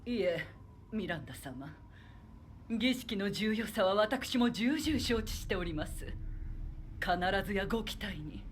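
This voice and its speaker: A woman's voice: mid-range voice, lively intonation, a little fast, slight background noise, natural voice. An actress playing a proud aristocratic vampire lady in a game, speaking formally and deferentially to her superior, played from the game. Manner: formal, deferential then haughty. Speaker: castle mistress reporting to her superior.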